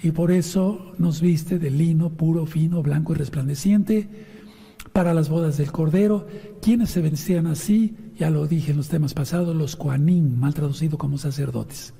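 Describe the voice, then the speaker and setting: Hoarse male preacher in a reverberant hall. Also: on the high side, normal speed, dark tone. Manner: didactic, addresses listeners directly with questions and instructions. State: hoarse voice from sinusitis after an operation. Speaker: preacher and Bible teacher. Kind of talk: sermon with scripture reading and commentary. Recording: long reverberant hall sound.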